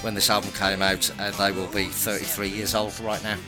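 A man's voice: fairly low pitch, completely flat delivery, fairly quick. A man hosting a casual album-review podcast, chatty and jokey, rambling a bit between thoughts. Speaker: podcast host. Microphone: home podcast mic. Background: rock music playing.

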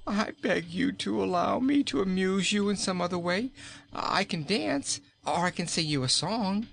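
A man's voice: sits high, animated, moderate pace, creaky, a bit bright, pitched above the performer's own voice, exaggerated voice. A man reading a storybook aloud, voicing a fat, timid king in a panicky, pleading way. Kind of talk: audiobook character voice.

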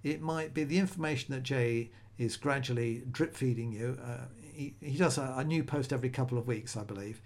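A man's voice: medium pitch, brisk, hardly any pauses. Chatty man hosting a podcast. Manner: conversational, rambling, self-correcting restarts. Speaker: podcast host. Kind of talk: solo podcast monologue.

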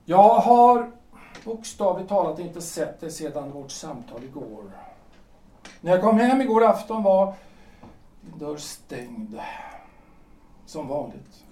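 An actor playing a stiff, reproachful husband in his forties in a period audio drama, halting and formal in delivery. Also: a little high, big pitch swings, warm tone. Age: 40s. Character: Gustav, stiff, reproachful 43-year-old lawyer husband. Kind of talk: period stage-play audio drama acting. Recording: echoey room, far from the mic.

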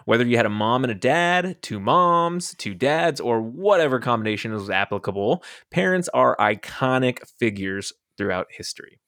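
A man hosting a casual podcast, jokey and animated, laughing often. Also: medium pitch, normal speed, few pauses, no room sound, studio quality. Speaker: podcast host.

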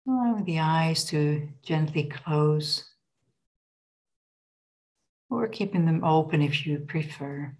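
A woman leading a guided body scan meditation in a gentle, unhurried, instructional manner. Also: sits low.

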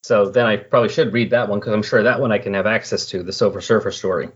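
Husky man chatting on a podcast. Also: lowish voice, fairly even intonation, fast. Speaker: podcast host. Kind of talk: casual comic book discussion. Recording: dull lo-fi voice recording.

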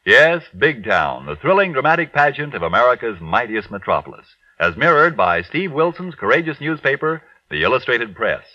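A man's voice: medium pitch, very animated, moderate pace, few pauses, bright, forceful tone, no echo. A man working as a radio announcer, reading ads and announcements in a 1940s style. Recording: dull, distant vintage radio recording.

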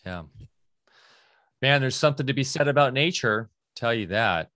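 A man's voice: mid-range voice, lively intonation, moderate pace, pushed and bright, no echo, clean studio sound. A man hosting a casual interview who talks in an enthusiastic, rambling way. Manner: rambling and enthusiastic, with many restarts. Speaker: podcast host.

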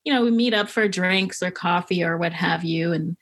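A woman's voice: lowish voice, moderate pace. Middle-aged woman from Haiti telling stories. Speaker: podcaster and filmmaker being interviewed. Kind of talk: conversational interview storytelling.

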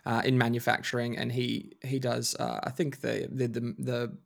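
Relaxed man hosting a podcast. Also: medium pitch, moderate pace, creaky. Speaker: podcast host. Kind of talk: podcast interview. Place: Australia.

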